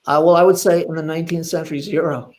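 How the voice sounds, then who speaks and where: Higher-voiced older man, emphatic. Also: fairly even intonation, fast, a little mellow. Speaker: panelist, history commentator. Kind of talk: spoken panel discussion, explanatory.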